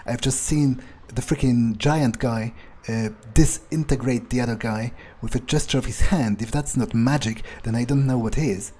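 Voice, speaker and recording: A resentful fledgling vampire , voiced by a man, thinking aloud through a game playthrough. His voice is mid-pitched, soft-edged and husky. He mutters suspiciously and hesitantly, grumbling as he improvises. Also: moderate pace, few pauses, close to an everyday voice. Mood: grudging, wondering. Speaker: gaming video player.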